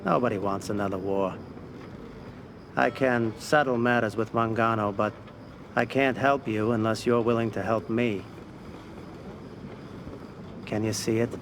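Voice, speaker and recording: A man playing a shrewd, older mob financier in a video game, with a gravelly voice and a deliberate, persuasive manner. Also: fairly low pitch, steady tone, noisy background. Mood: visionary. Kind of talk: cinematic video game acting.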